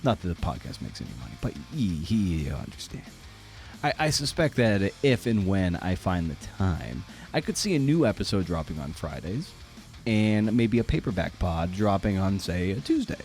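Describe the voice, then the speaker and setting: A man's voice: sits low, big pitch swings, moderate pace, a little mellow, soft background music. A man hosting a podcast in a casual, rambling monologue, with informal, slangy, self-deprecating phrasing. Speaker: podcast host.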